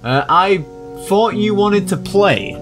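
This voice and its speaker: A British man in his twenties giving casual, animated reaction commentary, repeating phrases as he reacts, recorded on a close microphone at home. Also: high-pitched, takes it slowly. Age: 20s. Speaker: reaction video host. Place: small indoor room at home. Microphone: close boom microphone. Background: game video music.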